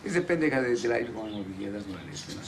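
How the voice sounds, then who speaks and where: A man's voice: mid-range voice, animated, very fast, slight background noise. A middle-aged man from Ecuador, making friendly small talk as a hairdresser with a client in a small salon. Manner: friendly small talk, often agreeing and repeating. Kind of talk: casual chat. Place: small hair salon indoors.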